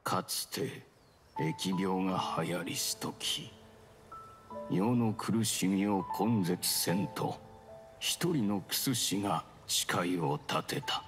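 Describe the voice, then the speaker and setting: A grave male narration of an ancient legend. His low voice moves slowly and formally, with music clearly behind it. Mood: solemn. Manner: solemn, formal. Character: solemn male storyteller of an old legend. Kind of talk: scripted archaic legend narration.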